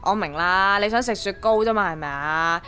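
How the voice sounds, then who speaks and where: Actress as bright, wry young Hong Kong woman. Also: medium pitch, moderate pace, faint music behind, lightly acted. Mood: teasing. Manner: wry, colloquial, gently bossy. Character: practical, teasing young woman scheming for money. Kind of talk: radio drama acting.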